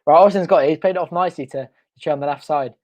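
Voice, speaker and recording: A young man hosting a live football watch-along from home, excitable and shouting, his voice going hoarse. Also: a little high, very fast. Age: young adult. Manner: excitable, shouting and chanting at goals. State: losing his voice. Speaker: fan channel host. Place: home front room.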